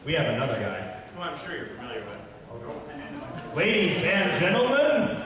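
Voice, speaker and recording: A man's voice: on the high side, wildly swooping pitch, a little slow. A man working as master of ceremonies, introducing guests to a live audience at a convention in a showman-like way. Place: large convention hall, crowd behind. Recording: very echoey hall, distant mic, loud crowd.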